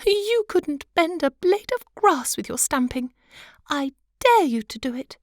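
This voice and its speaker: Storybook butterfly wife, tiny, nagging and emphatic, pitched very high with big swoops, played by a woman. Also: big pitch swings, unhurried, no room sound, studio quality. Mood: daring, scornful. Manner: fluttery, emphatic. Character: small, excitable, nagging female butterfly wife.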